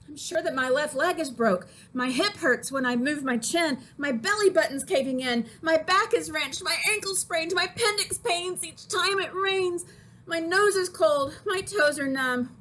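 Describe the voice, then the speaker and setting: A woman's voice: very high-pitched, a little slow, fairly bright tone, voice pushed up. A woman voicing a whiny, melodramatic little girl faking illness in a children's poem reading, with plaintive complaining. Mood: plaintive, tearful.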